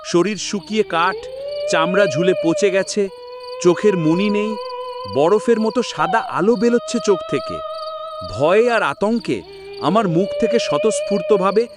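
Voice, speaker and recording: A man's voice: high voice, huge pitch swings, normal speed, loud music behind the voice. A man voicing an uneasy young nephew who narrates his own ghost story in a horror cartoon. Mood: uneasy, suspenseful.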